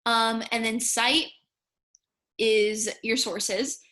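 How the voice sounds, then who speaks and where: A woman's voice: fairly high pitch, fairly even intonation, extremely slow, sharp tone. A young woman giving an informal slideshow lesson, explaining things in a casual, slightly tentative way. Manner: casual, tentative, hedging with "kinda" and "I guess". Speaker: speech and debate coach or student instructor.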